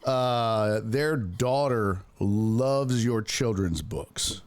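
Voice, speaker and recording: A man's voice: mid-range voice, extremely slow, dry recording. A man with a mid-range voice hosting an online talk show. He chats in a loose, easygoing way, drops casual swears, and laughs easily along with his guest. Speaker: sports talk show host. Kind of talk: casual interview chat.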